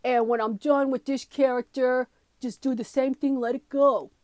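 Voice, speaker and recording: A woman's voice: high-pitched, steady tone, moderate pace, a little mellow, no echo, close to an everyday voice. A woman voicing a brash, blunt drug-buying guy with a drawl, as a comedy sketch character. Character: loud brash guy Joe Schmo buying drugs.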